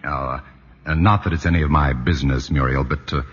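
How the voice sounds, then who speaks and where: A man's voice: a bass voice, steady tone, a little fast, breathy edge, soft background music. A man playing a sensible, skeptical family friend, dry and sardonic, with an American accent in 1940s radio acting. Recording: noisy, dull 1940s radio broadcast.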